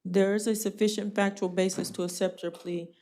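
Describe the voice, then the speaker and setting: A woman judge running a jail court hearing, brisk and plainspoken with a dry joking streak. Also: medium pitch, little pitch movement, mellow.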